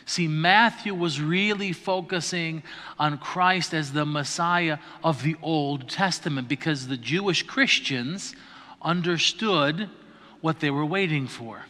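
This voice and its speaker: A man's voice: fairly high pitch, takes it slowly, bright, forceful tone. A man, a priest, gives a conversational lecture to an adult class, explaining patiently and checking in with his listeners as he goes. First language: not Greek. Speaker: priest teaching adult catechism. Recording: reverberant hall on a livestream mic.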